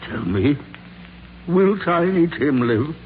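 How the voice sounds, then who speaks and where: An actor playing an old miser named for repentance, with halting, exclamatory delivery in a 1940s radio drama. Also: male voice, fairly high pitch, very animated, a little hiss or hum. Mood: fearful, pleading. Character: frightened, repentant old miser turned giddy with joy. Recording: tinny, band-limited 1940s radio recording.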